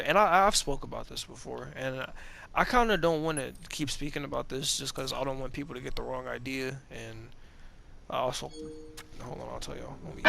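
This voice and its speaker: A man's voice: mid-range voice, creaky, bright, forceful tone, rough recording. A man of about twenty talking casually and profanely over gameplay, rambling with many restarts and slang. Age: 20s. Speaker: gaming video creator.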